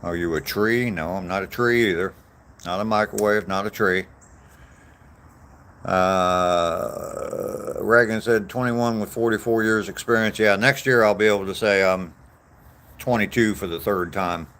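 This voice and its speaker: A man's voice: fairly low pitch, a little slow, fairly bright tone. A man in his sixties chatting casually on a walk, answering viewer comments in a meandering, self-deprecating way. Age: 60s. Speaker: vlogger reading live chat on a walk. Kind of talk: casual rambling chat. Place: outdoors on a walk.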